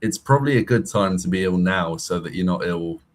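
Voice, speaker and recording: A man's voice: low voice, expressive, fairly quick. A young man from Birmingham chatting easily on a podcast. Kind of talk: casual chat.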